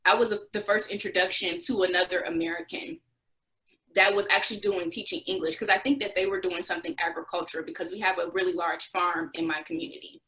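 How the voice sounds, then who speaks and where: A woman's voice: lowish voice, fairly even intonation, fast talker, bright, forceful tone, rough recording. A young adult woman answering interview questions, recounting experiences as a former volunteer with restarts and occasional laughs.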